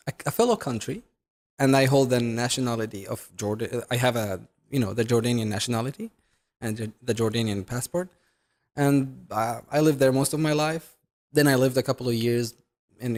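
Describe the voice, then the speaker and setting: A young man from the Middle East, a native Arabic speaker, hosting a casual chat with quick talk and many restarts and fillers. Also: medium pitch, a little mellow. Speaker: YouTuber host.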